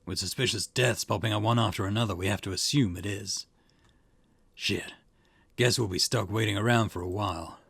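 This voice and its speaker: A man voicing a gruff, weary older chief detective from a visual novel, with a rough, terse delivery. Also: on the low side, brisk, a bit bright, no echo, heavily acted. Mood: grim, weary. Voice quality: gravelly, rough. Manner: terse, stern.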